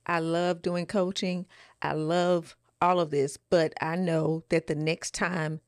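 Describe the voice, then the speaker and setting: A woman's voice: on the low side, little pitch movement, slow, no room sound, studio quality. A woman co-hosting a podcast, giving an earnest, encouraging motivational talk. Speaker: podcast co-host.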